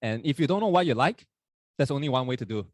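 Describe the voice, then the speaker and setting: A young man in his twenties, not from Hong Kong, co-hosting a podcast at a live university event. He talks in a relaxed, chatty way, often correcting himself mid-sentence. His voice sits mid-range and is recorded dry and close. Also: medium pitch, quick pace, no echo. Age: 20s. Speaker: podcast co-host. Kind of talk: casual chat at a live event.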